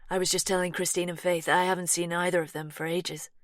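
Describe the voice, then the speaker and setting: Narrator voicing a soft-spoken, anxious young American woman; low voice, dry read. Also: little pitch movement, quick pace, dry recording, natural voice. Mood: defensive, anxious. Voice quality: soft, firm.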